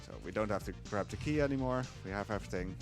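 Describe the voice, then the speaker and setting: Low-voiced Dutch speedrunner. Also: male voice, fast, soft background music. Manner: casual explaining with fillers. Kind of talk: live speedrun commentary.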